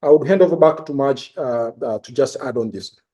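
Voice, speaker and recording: A man presents as a bank executive on a results call, speaking haltingly in short, broken phrases. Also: mid-range voice, mellow.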